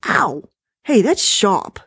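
A woman voicing a grumpy older husband, talking slowly in a flat, grumbling way. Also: mid-range voice, vocal fry, breathy edge, dry recording, clean studio sound, heavily acted.

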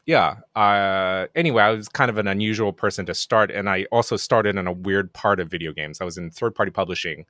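A middle-aged man from Japan, a native Japanese speaker speaking English, chatting as a podcast guest in a relaxed, self-deprecating way with many fillers. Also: deep voice, fairly quick, hardly any pauses, dry recording, studio quality. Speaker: podcast guest, game producer. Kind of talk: casual interview chat.

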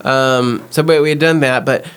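An American man co-hosting a podcast, telling personal stories casually in an animated, conversational way. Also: mid-range voice, moderate pace, smooth. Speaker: podcast co-host. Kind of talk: casual chat, personal storytelling.

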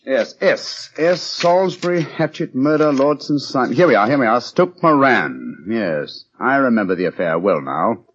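Gentleman detective, British accent, theatrical, eloquent, very animated, slightly raspy, muffled vintage radio. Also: mid-range voice, moderate pace, few pauses. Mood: brisk, musing. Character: brisk, theatrical, self-assured gentleman detective. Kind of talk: 1940s radio acting. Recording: muffled old radio recording.

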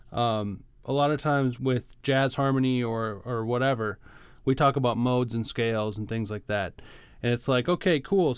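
A man's voice: mid-range voice, smooth, no room sound. A man co-hosting a podcast, casually explaining things in a patient, teacherly way with examples. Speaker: podcast co-host, music arranger and teacher.

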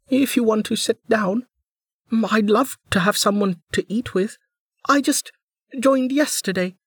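A woman's voice: on the high side, breathy edge, no echo, studio quality, voice pushed up. A narrator voicing a cheerful, chatty young woman who is new and eager to make friends. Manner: bubbly, apologetic, eager.

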